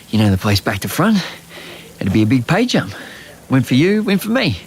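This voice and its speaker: An actor playing a weary restaurant boss in an audio drama, sardonic, self-deprecating and hesitant. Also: male voice, mid-range voice, very animated, normal speed, slight background noise. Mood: forced cheer.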